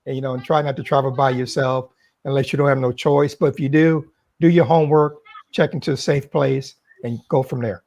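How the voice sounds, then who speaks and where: A man who is a regular panelist on a casual live stream, giving earnest advice with lots of hesitant pauses and filler words. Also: mid-range voice, fairly even intonation, a little mellow, lo-fi sound. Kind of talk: casual chat.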